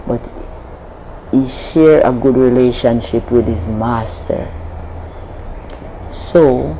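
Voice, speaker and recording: A Jamaican woman speaks at a very slow, measured pace, her voice gravelly with fry. She tells a long history as an interview guest, deliberate and restarting her sentences. A steady hiss sits under the recording. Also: rumbling low, rough recording. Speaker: interview guest, Maroon leader. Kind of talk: unhurried storytelling in an interview.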